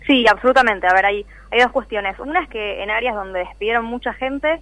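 A woman's voice: a little high, brisk, a bit bright, no room sound, rough recording. A woman with a Rioplatense Argentine accent giving earnest, detailed, conversational answers in an interview. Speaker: interviewee, layoff-tracking activist. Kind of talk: spontaneous explanatory radio interview.

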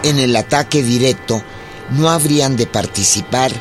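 A man's voice: mid-range voice, normal speed. A man delivering scripted, dramatic narration for a historical radio drama. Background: music under the voice.

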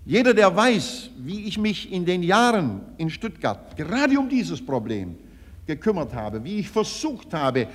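Older man orating, high swooping voice, hall reverb. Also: sing-song, unhurried. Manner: emphatic, oratorical, with rhetorical tags and repetitions. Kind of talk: rhetorical public speech. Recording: archive recording with long hall reverb.

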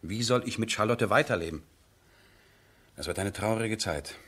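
An actor playing a passionate, headstrong nobleman in love, husky and theatrical, in a 1970s German radio drama. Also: fairly low pitch, normal speed. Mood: pleading, agitated. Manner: impassioned, theatrical, swinging from hushed to ringing.

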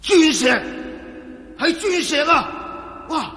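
An actor playing a scheming, greedy and menacing villain boss in a Cantonese radio drama, with a sneering, theatrical Hong Kong Cantonese delivery. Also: male voice, extremely high pitch, lively intonation, takes it slowly, bright, forceful tone, heavily acted. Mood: greedy elation. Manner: sneering, gloating, theatrical, with taunting interjections. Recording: echoey reverberant hall sound.

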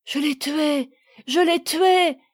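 A man's voice: high voice, slow, a little mellow. A woman voicing a tender, impetuous father and husband back after a long absence, speaking in emphatic, pleading exclamations. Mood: frantic, pleading.

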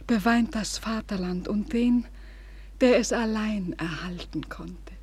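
Actress as a slow, despairing young woman in old radio drama. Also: mid-range voice, darkish tone, lightly acted. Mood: tender, resigned. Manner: slow, breathy, solemn declamation. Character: despairing young woman bidding farewell before suicide. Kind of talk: 1940s German radio drama acting, theatrical. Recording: noisy old 1940s radio recording.

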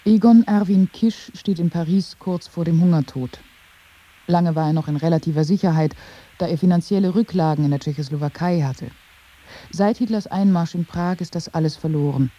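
Dark-toned woman reading aloud. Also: fairly low pitch, moderate pace, muffled recording. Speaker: reader of quoted texts. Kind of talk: scripted literary reading.

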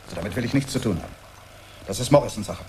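A man's voice: mid-range voice, racing, darkish tone, natural voice. A man acting in a German radio play as an impatient, sarcastic boss who badgers in a brusque, blustering way. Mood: angry. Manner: brusque, badgering, tacks "was?" onto sentences. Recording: noisy old radio production.

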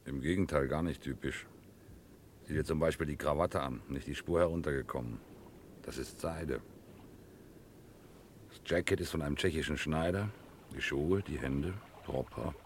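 A man's voice: a bass voice, moderate pace, pauses often, a little hiss or hum. An actor playing a lofty, bossy, philosophizing man in a florid, declamatory 1960s radio crime play style. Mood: smug, appraising.

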